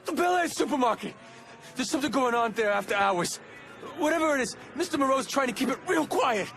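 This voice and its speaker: A scared goon spilling info, super high croaky voice, faint music. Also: male voice, lively intonation, brisk, a bit bright, full character voice. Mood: panicked. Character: frightened, cornered henchman giving up secrets. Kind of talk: dramatic video game acting.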